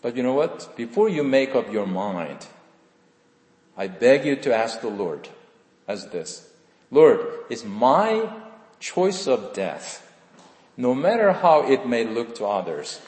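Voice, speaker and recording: A man's voice: very animated, slow, darkish tone. Korean-accented man preaching in an echoey hall. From South Korea. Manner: earnest, rises to stress and drops low at ends of phrases. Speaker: pastor. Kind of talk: sermon. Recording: echoey hall with long reverb.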